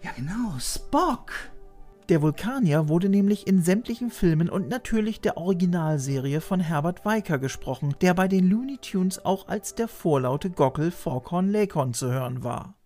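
A man's voice: high voice, animated, moderate pace, mellow, faint music behind. A man in his thirties narrating a scripted piece about voice actors as host, informative with chatty playful asides, recorded at a studio mic in a small room lined with shelves. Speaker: host of a retro TV channel. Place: small room full of shelves of videos and toys. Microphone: studio mic on a boom arm.

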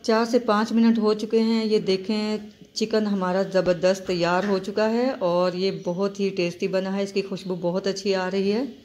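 A woman hosting a home cooking channel, calmly narrating each recipe step and repeating key points. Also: medium pitch, moderate pace. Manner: steady, instructive, repeats steps.

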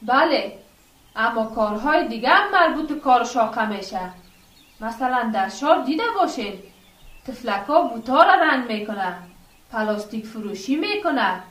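A woman playing an earnest, gently firm village schoolteacher who explains things didactically in a radio drama. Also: fairly high pitch, very animated. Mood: explaining. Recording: echoey room, distant from mic.

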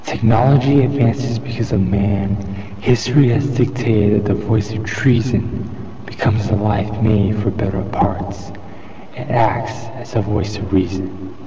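A man reciting a poem in a solemn, measured, declamatory way. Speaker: poetry reader. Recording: muffled lo-fi with loud background rumble.

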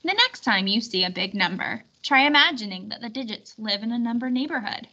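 A woman reading a children's storybook aloud in an expressive, clearly instructional way. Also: on the high side, brisk, highs cut off. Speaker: storybook reader.

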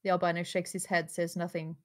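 A woman's voice: on the low side, little pitch movement, brisk. A woman reading stage directions as narrator in a live table read, on a home microphone over a video call. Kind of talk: live table read of a screenplay, with casual asides. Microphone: home microphone on a group video call.

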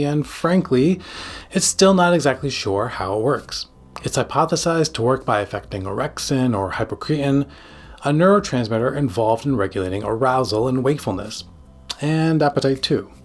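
A man in his forties, a psychiatrist hosting a scripted explainer to camera with emphatic, dramatic phrasing and a slightly husky voice. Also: medium pitch. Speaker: psychiatrist and video host. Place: small home studio room. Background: background music.

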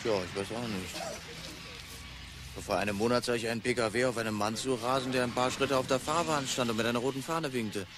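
Radio drama: weary, courteous clairvoyant male actor, medium pitch, formal and hesitant, noisy outdoor scene. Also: fairly quick, close to an everyday voice. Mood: hesitant, defensive. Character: weary male clairvoyant on a tour, politely resigned. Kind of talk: radio play acting. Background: outdoor traffic noise.

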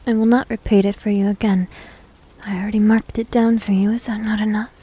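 A woman playing a needy, teasing young succubus demon in an ASMR roleplay, with a soft, breathy, often whispered voice and coy manner. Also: mid-range voice, flat delivery, close to an everyday voice. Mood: coaxing, needy. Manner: coy, slow, coaxing. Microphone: close microphone.

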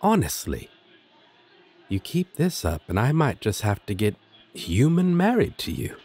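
Husky, flirty demon roommate played by a man. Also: medium pitch, wildly swooping pitch, a little mellow, full character voice. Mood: affectionate, flirty. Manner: teasing, casual, drawn-out delivery with dramatic swoops. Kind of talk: scripted audio roleplay addressed to listener.

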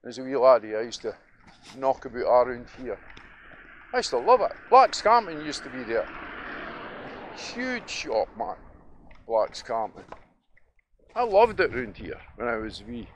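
A man's voice: mid-range voice, sing-song, measured pace, pauses often. Middle-aged Dundonian man, Scottish accent, emphatic off-the-cuff walking monologue, faint traffic behind him. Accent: Dundee Scottish. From Dundee, Scotland. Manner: reminiscing, opinionated, emphatic, prone to chuckling. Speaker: vlogger. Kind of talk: rambling casual monologue while walking outdoors. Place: outdoors, walking along city streets. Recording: noisy outdoor audio with traffic. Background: passing vehicles, street ambience.

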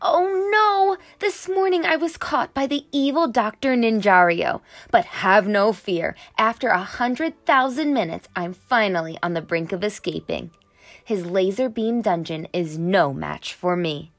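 A woman's voice: medium pitch, animated, measured pace. A woman voicing an eager, brave young boy superhero in training, earnest and dramatic, in a storybook. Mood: dramatic, urgent. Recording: music under the voice.

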